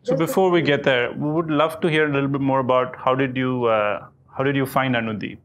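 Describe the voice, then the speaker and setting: An interviewer asking gentle, encouraging questions. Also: medium pitch, fairly even intonation, fairly quick.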